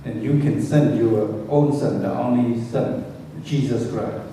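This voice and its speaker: A Cambodian-accented man with a mellow, rounded voice speaks as a preacher, pausing after short phrases for an interpreter. The sound is distant, washed in heavy hall echo. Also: mid-range voice. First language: Khmer. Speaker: guest preacher. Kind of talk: prayer and sermon delivered in short phrases with pauses for an interpreter. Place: large echoey indoor room. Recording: echoey reverberant hall from far off, faint noise.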